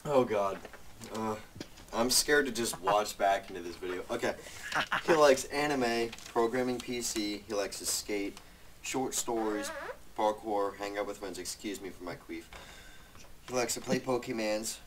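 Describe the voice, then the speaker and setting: Young male co-host, lowish pitch, casual joking banter, loose rambling read, recorded at some distance. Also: a little slow. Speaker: video co-host. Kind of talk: casual banter, reading notes aloud. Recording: noisy, distant microphone with clicks.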